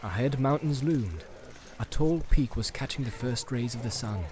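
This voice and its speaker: A man's voice: medium pitch, expressive, moderate pace, a little mellow. Male narrator of a dramatic fantasy audiobook. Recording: faint ambient soundscape behind.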